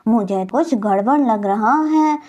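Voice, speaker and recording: Lady doing a suspicious cartoon fish guy, kinda high voice, slow, accusing. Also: lively intonation, darkish tone. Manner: wary, accusing. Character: suspicious adult male fish, nosy alarmist.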